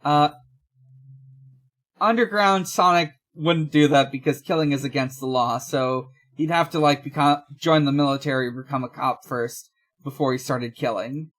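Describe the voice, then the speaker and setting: A man's voice: fairly high pitch, steady tone, moderate pace, a bit bright. A man co-hosting a podcast in casual chat, matter-of-fact and hesitant as he thinks things through aloud. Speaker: podcast co-host.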